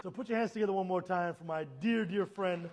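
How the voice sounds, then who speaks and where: A man's voice: sits high, a little fast. A man performing stand-up comedy on stage. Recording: reverberant hall, noisy live stage.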